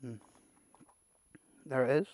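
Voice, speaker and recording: A man's voice: slow pace, a little mellow, no room sound. British man, casual unboxing chat, dry sound. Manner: rambling, muttering asides to himself, mildly sweary. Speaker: amateur video creator presenting an unboxing.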